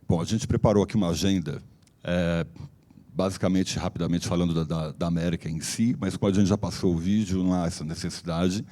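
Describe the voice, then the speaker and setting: A man who is a company director, slightly hoarse, giving a conference presentation in a loose, explanatory way with frequent fillers and restarts. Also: deep voice, steady tone, brisk, dark tone. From Brazil. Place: conference hall.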